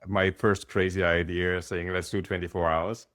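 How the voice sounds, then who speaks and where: Austrian guy w german accent, deep voice, chatty talk with lots of ums. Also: flat delivery, moderate pace, fairly bright tone. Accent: German-accented English. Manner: conversational, with fillers and asides. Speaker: workshop host. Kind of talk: online talk presentation.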